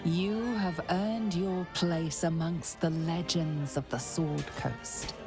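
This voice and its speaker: A woman playing a grave, ominous fantasy game narrator who tells of fate in a creaky, portentous voice. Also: low voice, fairly even intonation. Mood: solemn. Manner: slow, portentous, second-person storytelling. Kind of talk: scripted dramatic game narration. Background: music.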